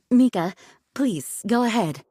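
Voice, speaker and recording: A woman's voice: medium pitch, expressive, very slow, warm tone, slight background noise. An actress voicing a courteous, authoritative female knight commander in a video game, formal and polite. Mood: formal, encouraging.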